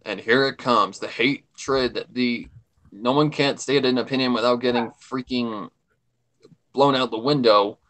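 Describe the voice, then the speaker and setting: A man's voice: medium pitch, steady tone, unhurried, fairly bright tone. A man co-hosting a livestream in casual fan chat, giving his opinions emphatically and repeating his points.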